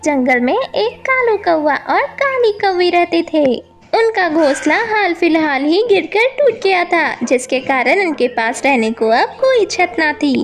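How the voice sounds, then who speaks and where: A woman reading scripted narration as the storyteller of a children's animated tale. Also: extremely high pitch, measured pace.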